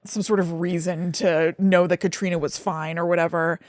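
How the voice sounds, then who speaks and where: A woman's voice: fairly low pitch, level tone, moderate pace, a little mellow, no echo. Casual woman co-hosting, dry close sound. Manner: conversational, frequent "like" and hedging asides. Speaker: podcast co-host. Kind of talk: casual film discussion.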